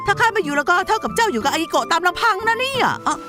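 Cheeky, high-pitched little spirit creature. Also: female voice, very animated, very fast. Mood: teasing. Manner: teasing, lecturing, brash. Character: small teasing spirit creature, cheeky, proud, scolding mentor. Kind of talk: Thai anime dub acting. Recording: voice over background music.

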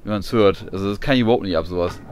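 A man's voice: deep voice, very fast, darkish tone, slight background noise. A man giving casual, colloquial and often grumbling commentary while playing a video game. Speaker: gamer doing a let's play.

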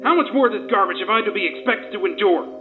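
A man's voice: high voice, lively intonation, fast talker, phone-quality sound, music in the background. A man playing a pompous, indignant mayor who orates like a grandstanding politician in a comic-book audio drama. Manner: oratorical.

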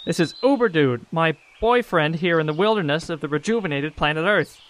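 An actor playing a sweet, gushing younger brother in a comic audio drama, effusive and earnest. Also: male voice, a little high, expressive, a little fast, fairly bright tone, low-quality recording. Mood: flustered.

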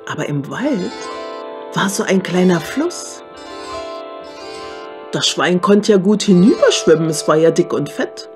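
Woman, storyteller, very slow pace, slightly low pitch, expressive, children's fairy tale. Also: over music. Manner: expressive, repetitive, addressing listeners.

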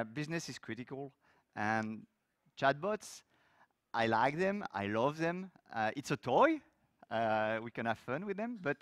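A middle-aged man from France with a French accent, giving a technical talk on a conference stage with loose, lively phrasing and many fillers. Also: mid-range voice, no echo, studio quality. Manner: loose, lively delivery with frequent fillers and restarts. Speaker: conference speaker. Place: large conference hall stage.

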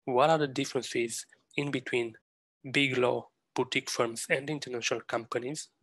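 Host: male, mid-pitched, dry close-mic read, intro then questions. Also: fairly even intonation, normal speed, a bit bright, dry recording. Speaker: podcast host.

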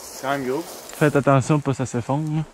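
A man's voice: medium pitch, fairly even intonation, fairly quick, slight background noise. A young man with a Québécois French accent, talking casually and using slang while exploring outdoors. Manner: slangy, laid-back, matter-of-fact. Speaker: urban explorer. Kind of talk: casual outdoor vlog chat.

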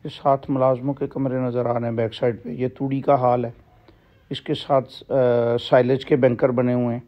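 A man gives a walking tour of his livestock farm outdoors, explaining things plainly with frequent pauses and fillers, recorded on a handheld phone. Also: mid-range voice, level tone, highs cut off. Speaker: farm owner giving a tour. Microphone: phone camera held while walking.

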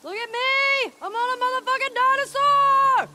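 A woman playing a teasing, excitable, foul-mouthed teenage girl in a naturalistic video game performance, casual and playful. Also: extremely high pitch, normal speed, very noisy. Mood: thrilled.

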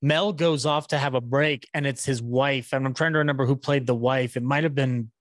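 A man's voice: mid-range voice, steady tone, fairly quick, dry recording, clean studio sound. A man in his thirties chatting casually as an interview host on a podcast microphone. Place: small room at home, video call. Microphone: podcast microphone on a boom arm.

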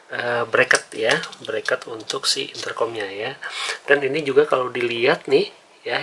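A man's voice: medium pitch, fairly bright tone, slight background noise. An Indonesian man giving a casual, conversational hands-on tutorial as a vlogger. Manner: conversational, often tags phrases with "ya".

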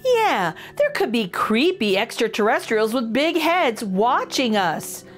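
A middle-aged woman hosting a children's storytime, reading a picture book aloud with expressive, exaggerated intonation. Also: fairly high pitch, slow, soft background music. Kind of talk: animated read-aloud for young children.

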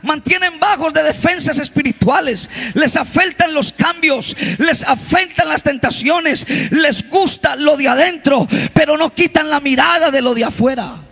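A middle-aged man preaching a fervent Pentecostal sermon into a handheld mic on a large church stage, rising to shouts. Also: very high voice, normal speed, creaky, hardly any pauses. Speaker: pastor. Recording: long hall reverb.

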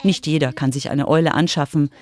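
A woman's voice: sits low, fairly even intonation, brisk, a little mellow. A woman reporter reading scripted narration for a children's radio feature.

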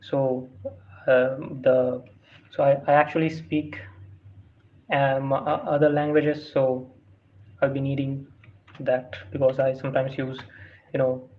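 Hesitant man with a dull, hissy mic. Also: mid-range voice. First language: not English. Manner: hesitant, many fillers and restarts, reads prompts aloud. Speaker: tutorial host. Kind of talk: screen-recorded tutorial walkthrough. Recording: dull lo-fi sound with faint hiss.

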